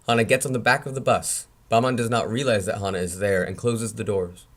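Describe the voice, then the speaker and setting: A man's voice: on the low side, steady tone, brisk. A man reading scene headings and stage directions in a screenplay table read. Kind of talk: scripted screenplay narration.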